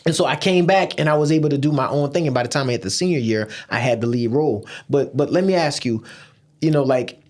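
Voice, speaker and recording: A man's voice: medium pitch, fast, a little mellow. A man hosting an interview podcast, speaking in an emphatic, motivational, preachy way. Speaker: podcast host.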